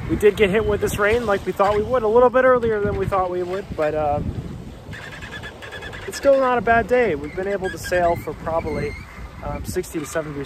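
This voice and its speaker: Young man vlogging on a windy boat. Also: a little high. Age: young adult. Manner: relaxed, chatty, many ums and uhs. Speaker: sailing vlogger. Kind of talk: casual vlog narration. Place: on a sailboat out on open water. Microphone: phone held at arm's length. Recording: phone audio with loud wind noise. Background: wind and boat noise.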